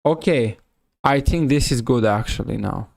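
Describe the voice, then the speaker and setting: A man with a Serbian accent giving live chess commentary on a stream, halting and often rephrasing himself. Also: mid-range voice, vocal fry, darkish tone, dry recording, studio quality.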